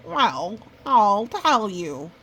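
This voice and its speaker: Woman as an earnest, slow-talking storybook goose. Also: mid-range voice, sing-song, fairly bright tone, slightly rough, exaggerated voice. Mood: urgent, earnest. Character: small quick-thinking male goose spinning a tall tale.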